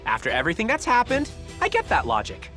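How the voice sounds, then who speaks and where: A man's voice: sits high, wildly swooping pitch, fast talker. A man voicing a smug, flippant young demon mad scientist in an anime game, casual, cocky and sarcastic. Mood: breezy, smug. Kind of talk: anime video game cutscene acting. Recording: music behind the voice.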